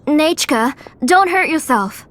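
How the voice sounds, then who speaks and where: A woman voicing a caring, practical, fretful mother in a video game, gently fussing as she talks. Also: high-pitched, expressive, slow pace, slight background noise, exaggerated voice. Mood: worried, scolding. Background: music.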